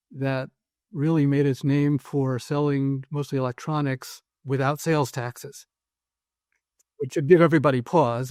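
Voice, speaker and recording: A man, a former prosecutor, answering interview questions in a careful, measured way with frequent "um"s. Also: medium pitch, a little mellow, dry recording, clean studio sound.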